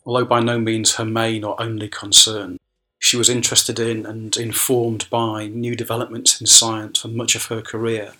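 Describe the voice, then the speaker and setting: A man's voice: fairly low pitch, sharp tone. A man narrates a scripted academic essay in a formal, scholarly manner. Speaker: academic speaker.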